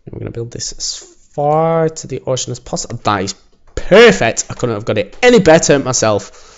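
A man hosts his own let's-play, chatty and self-assured as he clicks through a city build. His voice sounds a little croaky, and the home recording is dull and lo-fi. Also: mid-range voice, very animated, a little fast. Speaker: gaming channel host. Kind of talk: casual let's-play commentary. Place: home, alone.

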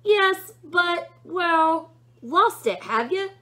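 A woman's voice: very high voice, lively intonation, extremely slow, pushed and bright, voice pushed up, full character voice. A woman reading a storybook aloud, voicing a sardonic, casual male serpent demon who muses and questions. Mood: sarcastic. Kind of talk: storybook read-aloud character voice.

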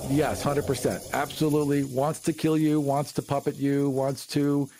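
A man's voice: mid-range voice, little pitch movement, normal speed, heavy background noise. A man co-hosting a podcast in a casual discussion about tabletop gaming. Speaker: podcast co-host.

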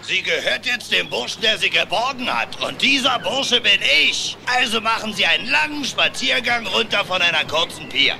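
A man playing a crooked dockside salvager in a cartoon audio play, gruff, mocking and bossy, with a gravelly voice. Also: high-pitched, lively intonation, normal speed, hardly any pauses, noisy background. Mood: mocking, smug. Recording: music behind the voice.

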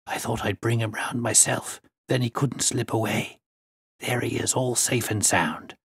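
Audiobook role: conspiratorial male tout, hushed and husky, full of his own importance male reader acting it out. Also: on the low side, animated, moderate pace, no echo, clean studio sound, heavily acted. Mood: furtive, hushed. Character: trusty male tout, furtive, self-important whisperer. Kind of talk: audiobook character voice.

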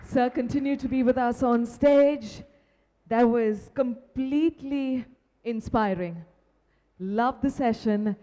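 A woman emcees a conference, announcing in a polished, upbeat way. Her voice is mellow and slightly high, carried on a long hall reverb. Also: takes it slowly. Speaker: event emcee. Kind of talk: stage hosting. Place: large conference hall stage. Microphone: handheld mic.

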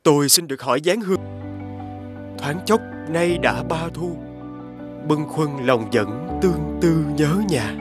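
An actor playing a polite, poetic, homesick young scholar in an animated fairy tale. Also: male voice, fairly high pitch, unhurried, music in the background. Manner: polite, formal.

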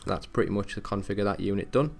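A man giving an informal, matter-of-fact step-by-step software tutorial as a technical support presenter. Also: on the low side, quick pace, creaky.

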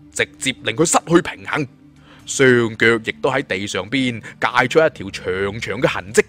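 A man's voice: medium pitch, a bit bright. A man with a Cantonese accent narrating a martial fantasy tale in a theatrical, colloquial audiobook style. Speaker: storyteller. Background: background music.

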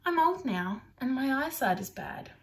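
A woman voicing a gentle, patient old man, a painter in a storybook, in a kindly, measured way. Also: high-pitched, big pitch swings, far from the mic, voice pushed up. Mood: wistful.

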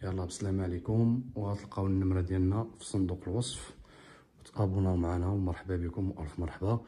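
A man with a Moroccan Arabic accent talks fast as he walks his phone through a large tiled living room. His voice sits low and mellow, with a faint hiss behind it. He pitches his work like a salesman and keeps asking viewers if they see. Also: fairly even intonation. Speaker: upholstery shop owner showing his work. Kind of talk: walkthrough product showcase. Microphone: phone camera held while walking.

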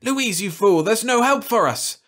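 A man's voice: high voice, animated, brisk, voice pushed up. A man doing a furious stranded spaceman. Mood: angry. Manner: shouting, savage, agitated. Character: bitter, volatile man stranded on asteroid. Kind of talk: storybook reading.

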